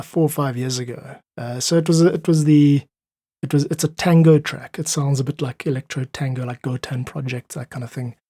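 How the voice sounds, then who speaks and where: A man talks in an informal, easygoing way, as a musician being interviewed. His voice has a husky edge and sits at a middle pitch. The sound is dry, with very little room to it. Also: mellow, dry recording. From South Africa. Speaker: podcast guest, independent musician. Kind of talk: casual interview chat.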